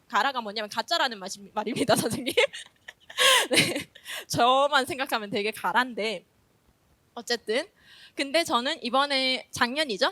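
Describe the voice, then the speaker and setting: A woman's voice: high voice, a bit bright, no room sound. A woman teacher with a slight Gyeongsang accent giving a chatty, self-deprecating conference presentation while nervous.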